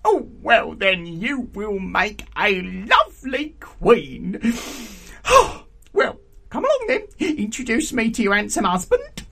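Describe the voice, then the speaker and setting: A mischievous old hunchbacked spinner woman from a storybook. Her voice is raucous and husky, leaping high and swooping as she teases, insists and cackles at her listener. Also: huge pitch swings, slow, sharp tone, exaggerated voice. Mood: gleeful teasing. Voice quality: raucous voice with a filthy laugh.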